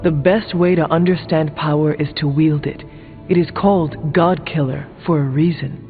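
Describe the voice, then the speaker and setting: Male actor as solemn warrior, terse, formal, deliberate, video game dialogue, muffled narrow sound, long reverb. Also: on the high side, steady tone, lightly acted. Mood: blunt, formal. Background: music.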